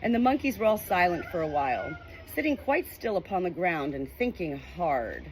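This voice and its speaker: A woman reading a children's audiobook aloud in an expressive, informal way. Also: fairly low pitch, normal speed, a little hiss or hum, poor audio. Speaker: audiobook reader. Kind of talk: children's storybook narration.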